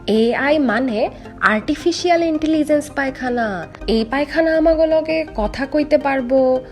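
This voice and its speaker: Woman as a high-voiced confident cartoon inventor. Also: unhurried. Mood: assured. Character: confident, ambitious young female inventor. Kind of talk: comic cartoon dialogue. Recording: background music under the voice.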